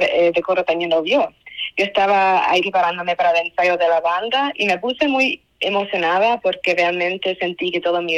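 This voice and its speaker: A woman's voice: fairly low pitch, a bit bright, rough recording. A young woman from Spain with a Cuban accent, answering interview questions in an earnest, wordy, self-correcting way. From Spain, raised in a Cuban family. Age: young adult. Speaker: student award winner being interviewed.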